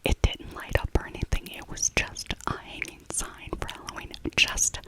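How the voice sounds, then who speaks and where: A middle-aged woman whispering a casual, rambling ASMR shopping voiceover, softly repeating her phrases. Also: normal speed. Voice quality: soft unvoiced whisper. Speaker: ASMR creator.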